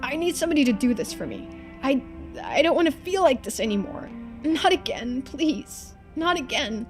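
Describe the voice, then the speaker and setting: A woman voicing a confused, distressed young boy in a mystery game, halting and pleading as he talks. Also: sits high, big pitch swings, soft background music. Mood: desperate.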